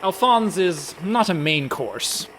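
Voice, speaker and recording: A man's voice: high-pitched, sing-song, slow, a bit bright, a little hiss or hum, full character voice. An actor playing a fretful, reluctant con man in a comic radio play, full of dry, indignant protests. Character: anxious, reluctant young-sounding con man, male, indignant worrier.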